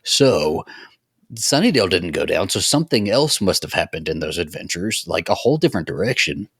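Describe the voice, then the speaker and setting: A man's voice: low-pitched, big pitch swings, a little fast, fairly bright tone, breathy edge, dry recording, clean studio sound. A man co-hosting a podcast, thinking aloud in casual, sweary discussion of fiction. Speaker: podcast co-host.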